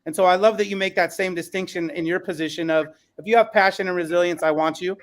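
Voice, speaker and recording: A man's voice: high-pitched, fairly even intonation, quick pace. A man hosting a podcast panel as an educator, speaking in an earnest, emphatic way.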